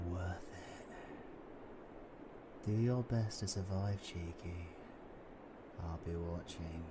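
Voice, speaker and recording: A man playing a cocky, menacing, flirtatious British supervillain in an intimate roleplay audio drama, breathy and taunting. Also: deep voice, steady tone, extremely slow, long pauses, very noisy. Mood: teasing, threatening. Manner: taunting, breathy, swings between whispering and shouting.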